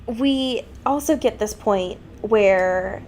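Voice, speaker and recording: A woman co-hosting a podcast, chatting casually about a book and retelling it in an amused, halting way with acted-out quotes. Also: medium pitch, a little mellow, a little hiss or hum. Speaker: podcast co-host. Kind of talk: casual book discussion chat.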